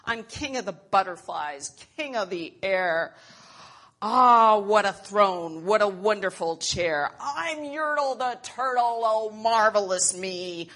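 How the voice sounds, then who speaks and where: A woman voicing a pompous, bossy male turtle king in a storybook, loud, commanding and boastful. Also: medium pitch, lively intonation, slow. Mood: gloating.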